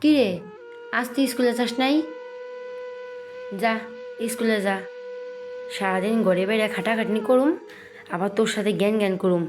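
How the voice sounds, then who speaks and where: A woman voicing a nagging, overworked village mother in a cartoon, sharp-tongued, with a Bangladeshi accent. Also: mid-range voice, normal speed, long pauses, lightly acted. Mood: scolding, impatient. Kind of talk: cartoon voice acting. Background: flute music.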